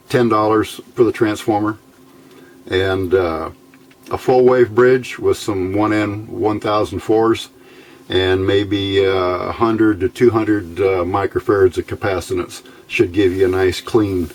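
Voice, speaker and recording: Male hobbyist presenter, lowish voice, informal how-to delivery with halting, folksy phrasing. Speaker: hobbyist presenter of electronics build videos. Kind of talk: informal technical explanation.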